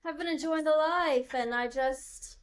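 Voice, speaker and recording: A shy young mob wife, new to the business, as a woman plays her. She talks in a giggly way, her voice fairly high and close to plain talk. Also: unhurried.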